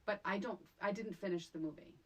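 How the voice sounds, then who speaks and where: A woman's voice: medium pitch, brisk. A middle-aged American woman co-hosting a casual film podcast, speaking in a wry, emphatic way. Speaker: podcast co-host.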